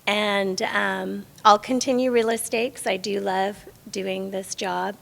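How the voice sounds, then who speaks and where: A woman's voice: medium pitch, steady tone, slow pace, creaky, a bit bright. A middle-aged woman from the western US, chatty and plain-spoken, giving an informal self-introduction to a class. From western US, born in Los Angeles and raised in Las Vegas. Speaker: realtor at a speaker training class. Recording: echoey hall.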